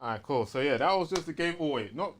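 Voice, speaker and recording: A man's voice: a little high, expressive, fast talker, a little hiss or hum. A man with a London accent co-hosting a casual game review chat, slangy and given to acting out his reactions. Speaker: podcast co-host.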